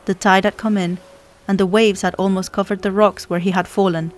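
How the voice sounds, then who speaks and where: A woman's voice: mid-range voice, little pitch movement, a little fast. A woman reading a children's story aloud as scripted narration, as an audiobook narrator does. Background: music.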